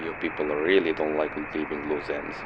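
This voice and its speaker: Male actor as sardonic mercenary, very deep pitch, blunt, grumbling, game audio drama, muffled recording, noisy background. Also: flat delivery, moderate pace. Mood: dry, sardonic. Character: jaded, sardonic male mercenary codenamed Phantom.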